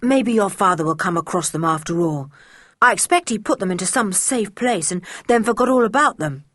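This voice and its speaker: A woman's voice: medium pitch, lively intonation, fairly quick, fairly bright tone, dry recording, clean studio sound. A woman voicing a sensible, polite older boy in a storybook reading, speaking urgently and politely.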